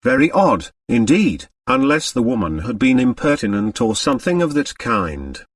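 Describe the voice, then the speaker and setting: A man's voice: mid-range voice, sing-song, moderate pace, dry recording, clean studio sound, recorded from a distance. A man voicing a brusque, preoccupied older millionaire in an audiobook, curt and insistent. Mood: brooding. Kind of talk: audiobook character voice.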